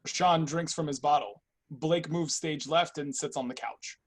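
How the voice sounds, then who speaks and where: A man with a husky voice reading stage directions aloud as scripted narration in a play reading. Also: on the high side, steady tone, normal speed.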